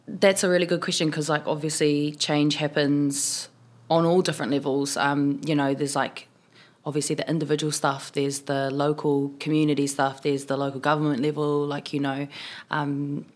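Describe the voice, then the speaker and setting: A woman's voice: low-pitched, level tone, normal speed. A woman in her twenties from New Zealand with a New Zealand accent, a city councillor chatting casually in a podcast interview, full of "um" and "like". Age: 20s. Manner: chatty, many fillers like "um" and "like".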